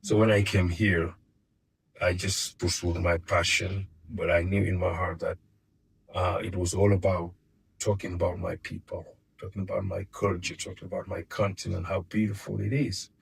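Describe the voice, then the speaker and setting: Guy, middle-aged, really deep voice, kinda husky, stops and repeats words a lot. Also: level tone, normal speed, poor audio. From Senegal. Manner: hesitant, repeats words while searching for them. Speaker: guest filmmaker. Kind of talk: casual interview.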